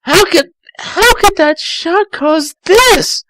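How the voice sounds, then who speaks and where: A man acts out an excitable young fox inventor from a fan story, pitched well above natural speech. The delivery is bright, strained and exclamatory, easily alarmed, in a dry recording. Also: takes it slowly, dry recording, heavily acted. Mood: distressed.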